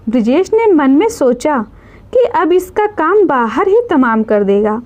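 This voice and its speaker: A woman's voice: sits high, darkish tone, highs cut off. A woman narrating a scripted dramatic story as a storyteller.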